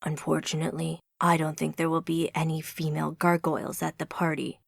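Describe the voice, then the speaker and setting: A woman voicing a big, deep-voiced American man with an American accent who jokes and teases. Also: completely flat delivery, fairly quick, creaky, no room sound, clean studio sound. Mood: teasing. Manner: joking, teasing.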